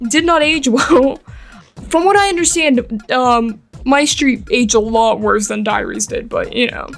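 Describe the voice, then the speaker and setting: Young female art YouTuber, American accent, fairly high voice with vocal fry, chatty and self-deprecating over background music. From England and Eastern Europe, later New York. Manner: rambling, self-deprecating, giggly. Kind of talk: casual chatty speedpaint commentary.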